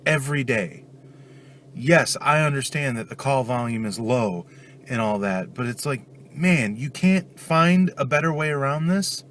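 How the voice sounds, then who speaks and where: A man's voice: mid-range voice, animated, unhurried, a bit bright, slight background noise. A man with a stuffed-up cold talking casually in a daily vlog, venting his frustration. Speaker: vlogger.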